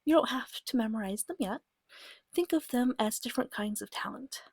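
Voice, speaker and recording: A woman speaking softly in a gentle, patient ASMR style, often slipping into a whisper as she guides a beginner in short, measured phrases. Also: on the high side, expressive, no echo, studio quality. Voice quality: soft, breathy, often dropping to a whisper. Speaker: guide teaching a beginner tabletop game. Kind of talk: soft-spoken ASMR, slow guided explanation.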